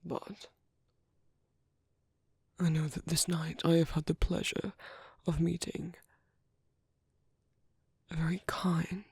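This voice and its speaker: A very close, dry ASMR recording of a tender British vampire priest, acted by a man. His voice is extremely breathy and frayed with creak, often sinking to a whisper. He speaks slowly and carefully, with old-fashioned courtesy and a fatherly gentleness. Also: fairly high pitch, level tone, no echo, heavily acted. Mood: warm, adoring. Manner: gentle, hesitant, formal and courteous. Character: soft-spoken, caring British vampire priest, paternal and formal. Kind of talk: soft ASMR roleplay, intimate and slow. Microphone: close microphone, dry.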